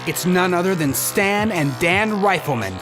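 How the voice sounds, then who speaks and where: A man's voice: sits high, lively intonation, normal speed. A man narrating a scripted audio drama in a dramatic storytelling style. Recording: music under the voice.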